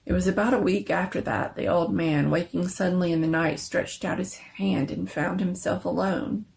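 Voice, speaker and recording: A woman's voice: on the low side, steady tone, normal speed, vocal fry, a little mellow. A woman narrator giving a dramatic reading of a scary story.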